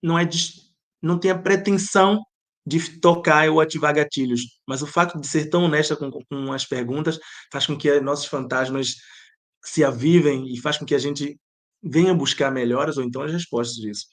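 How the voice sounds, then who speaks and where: A man's voice: on the high side, normal speed. A man from Bahia with an accent mixing Bahian and European Portuguese, a playwright and director thoughtfully answering interview questions, often restarting his sentences. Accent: Bahian Portuguese mixed with European Portuguese. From Bahia, Brazil. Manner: thoughtful, searching for words with many restarts.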